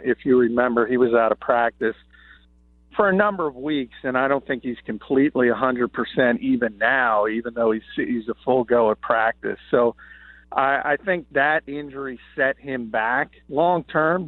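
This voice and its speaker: A slightly hoarse man, an NFL columnist calling in, gives confident, opinionated analysis with many "uh" fillers. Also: mid-range voice, no echo. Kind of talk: sports radio analysis by phone. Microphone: phone. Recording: tinny dry phone line.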